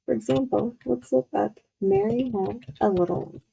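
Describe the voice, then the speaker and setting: A young woman, giving a guided walkthrough of a software product. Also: a little high, very animated, moderate pace, vocal fry, soft background music, poor audio. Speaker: student demo presenter.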